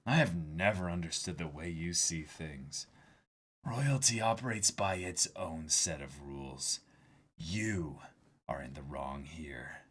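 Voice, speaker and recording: Guy doing a snooty heartless royal, quiet low husky voice, very deliberate, manga read-aloud. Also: exaggerated voice. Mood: cold contempt.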